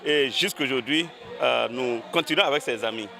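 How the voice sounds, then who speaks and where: A man interviewed for a news report, giving a steady account that sometimes stalls on repeated words. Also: medium pitch, normal speed, vocal fry. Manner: steady account with some stammering.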